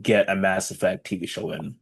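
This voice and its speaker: A man's voice: low-pitched, steady tone, brisk, slightly rough, no room sound. A man chatting casually as a co-host on a podcast. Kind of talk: casual chat.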